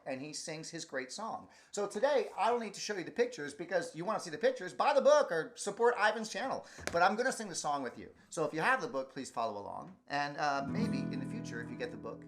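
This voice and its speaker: A man's voice: a little high, expressive, fast, gravelly, few pauses, faint music behind. A man, a children's performer chatting casually and animatedly as he introduces stories. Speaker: children's music performer and storyteller. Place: daycare.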